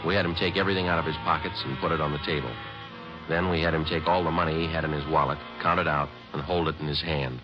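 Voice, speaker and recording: A man's voice: a bass voice, fairly even intonation, quick pace. An actor playing a dedicated male police sergeant who narrates in a clipped, matter-of-fact way, in a 1950s radio drama. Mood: terse. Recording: dull old radio broadcast with music.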